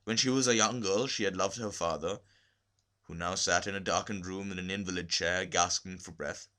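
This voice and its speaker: A man narrating a literary short story expressively, with measured, deliberate phrasing. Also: sits low, steady tone, bright, forceful tone. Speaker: story reader.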